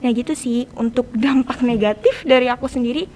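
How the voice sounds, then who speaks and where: A teenage girl with a fairly high voice speaks very quickly in Jakarta-accented Indonesian. She explains her points conversationally, like a student guest on a podcast. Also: a little mellow, a little hiss or hum.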